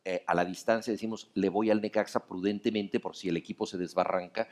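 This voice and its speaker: Middle-aged Mexican man, lowish voice, fast storyteller, seated stage panel. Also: steady tone, a little mellow. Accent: Mexican Spanish. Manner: fast, long flowing anecdotes. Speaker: festival panelist, writer. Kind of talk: onstage conversation. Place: dark theater stage, seated in armchairs.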